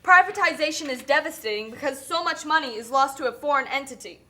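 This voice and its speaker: A woman's voice: sits high, fast, bright, forceful tone. A woman playing a struggling, outspoken Nicaraguan working mother in a scripted puppet show. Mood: indignant.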